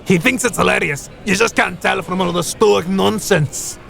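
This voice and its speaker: Cheeky boisterous hunter, very creaky voice, bright edge, folksy teasing. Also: male voice, high-pitched, moderate pace, faint music behind, heavily acted. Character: jovial, cheeky, chatty hunter and ale drinker. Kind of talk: fantasy audio drama acting.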